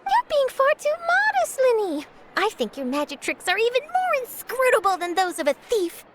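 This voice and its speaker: An actress playing an eager, inquisitive young female journalist who talks in a bright, chirpy way. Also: very high-pitched, huge pitch swings, moderate pace, slight background noise, heavily acted. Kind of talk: video game dialogue.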